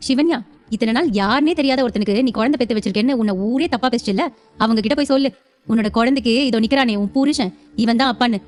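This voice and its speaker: Villainess elder sister, acted by a woman: high, rapid, sneering, scheming, audio serial melodrama. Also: natural voice. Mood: gloating. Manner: gloating, sneering, insistent. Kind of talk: audio serial drama acting.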